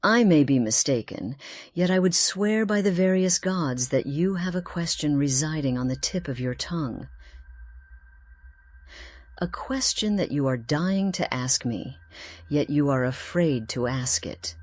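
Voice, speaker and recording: Low-voiced actress as a mysterious, courteous lonely woman. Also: animated, a little mellow. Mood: coy, probing. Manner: formal, measured, eloquent. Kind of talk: scripted fantasy game dialogue.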